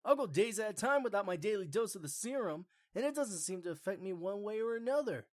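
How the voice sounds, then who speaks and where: A woman's voice: medium pitch, expressive, quick pace, a little mellow, lightly acted. A woman playing an eager, grateful pony patient in a scripted audio drama.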